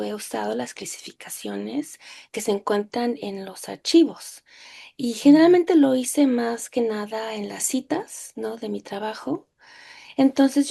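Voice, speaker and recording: A Mexican woman speaks as a historian on an academic panel. Her voice is mellow and creaky, and she thinks aloud in a reflective, hesitant way. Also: fairly high pitch.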